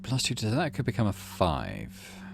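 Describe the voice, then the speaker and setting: A man's voice: on the low side, wildly swooping pitch, normal speed, gravelly, noisy background. A man doing let's play commentary, reading story text aloud and muttering through his decisions as he thinks aloud. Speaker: game streamer, let's play host.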